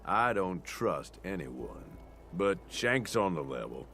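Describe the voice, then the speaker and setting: An actor playing a rough, scheming male raider lieutenant with a streetwise, persuasive way of talking. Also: low voice, big pitch swings, slow, a bit bright, a little hiss or hum, exaggerated voice.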